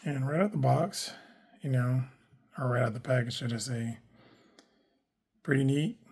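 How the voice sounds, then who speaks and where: A man with a hoarse, worn voice, chatting casually through a toy unboxing, rambling with lots of fillers and self-corrections. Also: medium pitch, measured pace, a little mellow. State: hoarse, losing his voice. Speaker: toy collector reviewing action figures.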